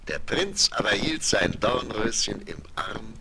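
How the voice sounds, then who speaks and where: Knarrender, tiefer Märchenerzähler. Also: male voice, on the low side, very animated, a little slow, gravelly, background noise. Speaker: fairy-tale narrator. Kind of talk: scripted dramatic storytelling for children.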